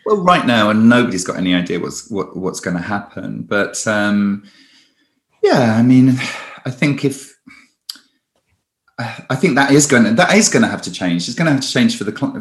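A man, a stage performer, chatting in a conversational interview with lots of restarts and lively storytelling. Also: fairly low pitch, normal speed, echoey room.

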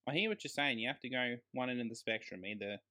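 A man's voice: mid-range voice, fast talker, bright, forceful tone. Exasperated, slangy man ranting about films. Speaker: podcast co-host. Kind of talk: casual film review chat.

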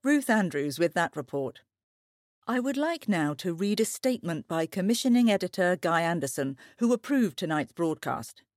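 An actress playing a composed, grave news anchor in an audio drama, presenting in a formal, measured way. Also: female voice, on the low side, big pitch swings, darkish tone, no room sound, clean studio sound.

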